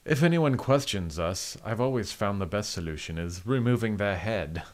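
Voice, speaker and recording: A man playing a confident, menacing, condescending Duke in an audio drama, speaking deliberately. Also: fairly low pitch, lively intonation, a little hiss or hum, close to an everyday voice. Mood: coldly menacing. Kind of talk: dramatized audio story acting.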